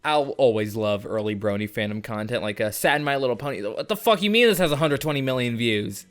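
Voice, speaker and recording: A man talks through a video essay in a mid-pitched voice. He is casual, sardonic and sweary, with faint music underneath. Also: lively intonation, a little fast, fairly bright tone. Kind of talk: casual, jokey video commentary. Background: faint background music.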